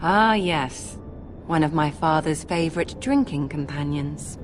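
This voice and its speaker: A woman's voice: low voice, measured pace, music in the background. An actress playing a shrewd young noblewoman in a fantasy game, refined and arch in manner. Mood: breezy, exasperated. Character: poised, shrewd young highborn lady. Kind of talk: dramatic video game acting.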